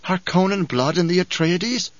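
A man's voice: sits high, expressive, moderate pace, no room sound, lo-fi sound, pitched above the performer's own voice. A man reading an audiobook, voicing a tense, guarded male swordsman who answers in clipped, defiant lines. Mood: strained.

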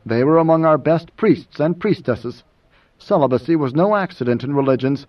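A man voicing a world-weary older majordomo with a measured, cutting, lecturing manner and quiet command, in an audiobook. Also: on the high side, lively intonation, distant mic, muffled recording. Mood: mild lecturing.

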